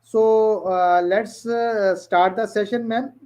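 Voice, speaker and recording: A man from Pakistan hosting a live online interview in English, polite and halting, with many "uh" pauses. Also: high voice, steady tone, dark tone. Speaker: interview host. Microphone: video call.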